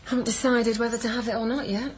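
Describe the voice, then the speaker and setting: A woman's voice: on the high side, flat delivery, quick pace, slight background noise, close to an everyday voice. An actress playing a touchy, flippant, bookish woman in a naturalistic radio drama, speaking in a wry, guarded way. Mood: brittle. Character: touchy, flippant, bookish woman visiting her old friend.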